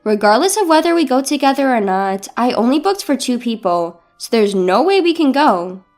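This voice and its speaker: A woman's voice: sits high, very animated, moderate pace, a little mellow, soft background music. A woman voicing an indignant young bride who protests incredulously in a dramatized story. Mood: firm, indignant. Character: indignant young bride standing her ground.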